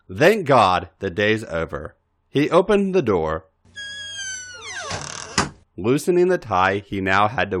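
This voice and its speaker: A man with a fairly low voice reads a story aloud like a dramatic audiobook. His pitch moves very widely from phrase to phrase, and his delivery is emphatic and theatrical. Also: fairly bright tone. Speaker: podcast host reading fan fiction aloud. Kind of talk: dramatic audiobook-style narration.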